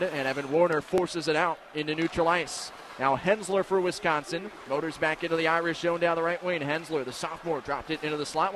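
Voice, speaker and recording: A man doing live radio play-by-play commentary of a hockey game, describing the action in crisp detail. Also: a little high. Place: indoor ice hockey arena. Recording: noisy live arena broadcast. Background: loud arena noise.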